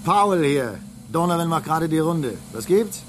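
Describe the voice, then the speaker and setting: A man's voice: a little high, expressive, normal speed, noisy background, natural voice. An actor playing a jaded male space station engineer in a radio play, casual, offhand and mildly condescending. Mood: offhand, bored. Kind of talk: German radio play acting.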